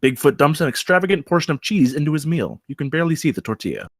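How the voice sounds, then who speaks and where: A man's voice: fairly high pitch, sing-song, quick pace, no echo, studio quality. A man reading out stage directions as the narrator of a screenplay table read.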